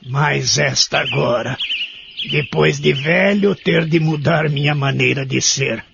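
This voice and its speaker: An actor playing a proud, lonely widowed man in his eighties in an audio soap, with a gravelly voice and brooding manner. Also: fairly high pitch, slow pace, exaggerated voice. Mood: brooding, resentful. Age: 80s. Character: lonely 83-year-old widowed care-home resident, proud and resentful. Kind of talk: audio soap narration and dialogue. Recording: dull lo-fi audio with clear noise.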